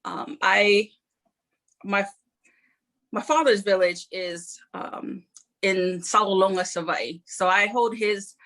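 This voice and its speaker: Bright-voiced woman from New Zealand. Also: mid-range voice, poor audio. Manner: earnest, modest, reflective with frequent pauses. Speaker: interview guest, Air Force member. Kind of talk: personal interview, life story.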